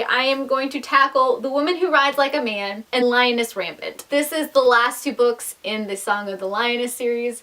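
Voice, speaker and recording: A woman's voice: fairly high pitch, pushed and bright. A young adult woman chatting casually to viewers as a book vlogger, enthusiastic and emphatic, with self-deprecating asides.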